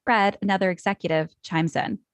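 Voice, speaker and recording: A woman's voice: fairly low pitch, fairly even intonation, fairly quick, clear, resonant tone, no echo. A woman narrating script stage directions in a matter-of-fact way.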